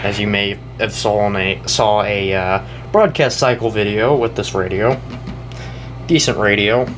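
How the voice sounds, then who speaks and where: A young American man giving a casual, off-the-cuff show-and-tell, talking in a halting, rambling way and often correcting himself. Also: on the low side, steady tone, fairly bright tone, soft background music. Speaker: hobbyist video creator. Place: indoors in a house. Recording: noisy room with air-conditioner hum.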